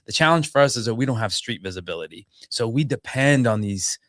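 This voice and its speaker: A man's voice: mid-range voice, expressive, a little fast, fairly bright tone, no echo, clean studio sound. A man from the US South, a bar owner, talking easily as a guest on a live show. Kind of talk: casual interview.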